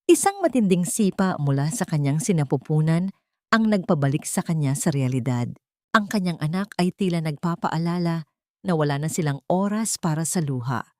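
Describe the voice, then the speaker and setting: Low-pitched woman narrating a drama. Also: animated, moderate pace, dry recording, clean studio sound. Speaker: story narrator. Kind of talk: scripted dramatic audio story narration.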